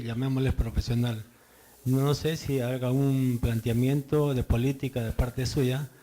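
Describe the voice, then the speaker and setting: A man's voice: mid-range voice, mellow. A man, a biology teacher in the audience, asking a formal question.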